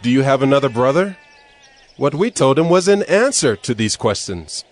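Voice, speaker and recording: A man's voice: medium pitch, big pitch swings, normal speed, lightly acted. A man voicing an anxious brother who carefully explains himself.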